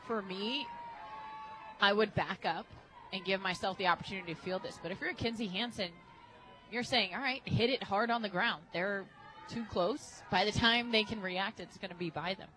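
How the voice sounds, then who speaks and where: Lady doing color commentary on softball radio, medium pitch, informal and chatty, breaking down tactics. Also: normal speed, faint music behind, poor audio. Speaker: radio colour analyst. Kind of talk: conversational sports analysis. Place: outdoor ballpark radio booth.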